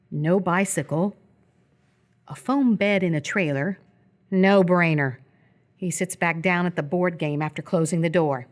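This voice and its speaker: A woman reading scripted stage directions as the narrator of a live radio play, in a descriptive, measured manner. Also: lowish voice.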